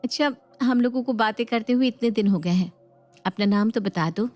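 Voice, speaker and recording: A woman's voice: a little high, moderate pace, darkish tone, soft background music, lightly acted. A woman voicing a naive, lovestruck young married woman, coy and emotive, in a dramatized moral story. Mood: coy, smitten.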